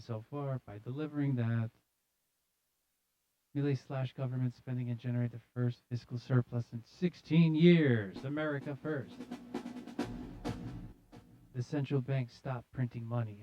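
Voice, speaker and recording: A man's voice: mid-range voice, dull audio. A man hosting a live news show, casually reading articles aloud with jokey, slangy, sardonic commentary.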